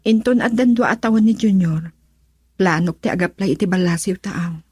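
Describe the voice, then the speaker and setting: A woman's voice: mid-range voice, normal speed, a little mellow, slightly rough, no room sound, studio quality, lightly acted. A woman acting an unhappy young mother with an Ilocano accent in a radio drama. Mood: wistful. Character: unhappy young mother regretting her marriage.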